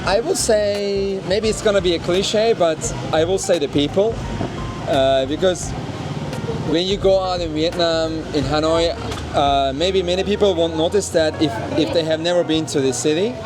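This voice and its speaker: A man's voice: on the high side, moderate pace. Young man from Turkey, native Turkish speaker, light voice, music bed. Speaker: English teacher interviewee. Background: background music.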